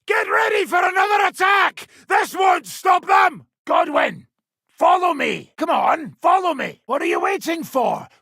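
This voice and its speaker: An actor playing a gruff, brash, middle-aged medieval mercenary nobleman in a video game, with a raspy, gravelly voice. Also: very high voice, lively intonation, a little slow, sharp tone, no echo, raised voice, clean studio sound, a faint crowd, exaggerated voice. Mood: urgent battle shouting. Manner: brash, sardonic, swearing.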